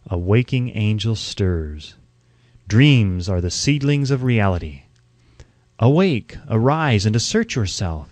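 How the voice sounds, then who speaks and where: Male audiobook narrator, mid-range pitch, measured oratorical delivery, scripted self-help reading, dry close recording. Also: a little mellow, no room sound.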